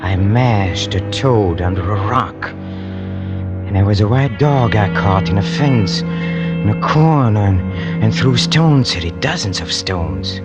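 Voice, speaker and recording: Slow, low-voiced, brooding killer. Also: male voice, expressive. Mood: cold pride. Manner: brooding, halting, repeats himself with menace. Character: bitter, cold-blooded hired killer confessing his crimes. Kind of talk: 1940s-50s radio drama acting. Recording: old radio broadcast with music underneath.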